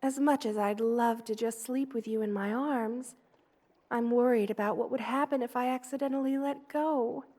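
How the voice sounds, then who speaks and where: A woman voicing a gentle, motherly spider queen in an ASMR roleplay, tender, cooing and soothing as she dotes on the listener. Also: on the high side, steady tone, normal speed, natural voice. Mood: playful, doting. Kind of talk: ASMR roleplay, personal attention.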